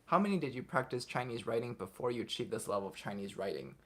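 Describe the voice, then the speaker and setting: A young man hosting a casual livestream, reading viewers' comments aloud and answering them off the cuff in a relaxed way. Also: medium pitch, fast. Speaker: livestream host answering chat.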